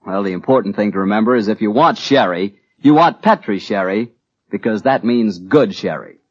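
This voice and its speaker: Animated male announcer, muffled old radio. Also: fairly low pitch, normal speed, husky. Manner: folksy, conversational sales patter. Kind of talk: 1940s radio ad read.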